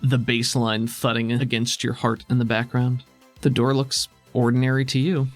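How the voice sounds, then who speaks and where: A man narrating tabletop game scenes as game master in a measured, descriptive manner. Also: medium pitch, little pitch movement, faint music behind.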